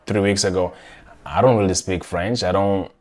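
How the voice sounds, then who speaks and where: Young adult man, podcast host, born in Rwanda; low, casual, chatty voice. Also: steady tone, moderate pace. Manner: chatty, self-correcting, frequent restarts. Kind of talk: casual conversation.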